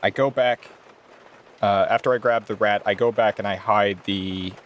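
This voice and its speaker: A man's voice: on the low side, steady tone, moderate pace, lo-fi sound, a little hiss or hum. A man playing a tabletop roleplaying game, chatting casually and describing his character's actions.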